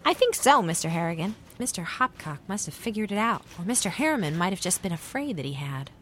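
A woman's voice: lowish voice, sing-song, fast, slight background noise. A woman playing a clever, confident young girl detective in an old-time radio mystery. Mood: triumphant.

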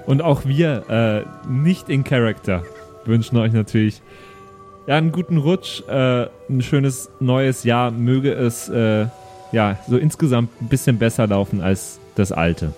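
A man's voice: medium pitch, unhurried, a little mellow, soft background music. A man talking casually as a player at an improvised role-playing game. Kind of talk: casual table talk.

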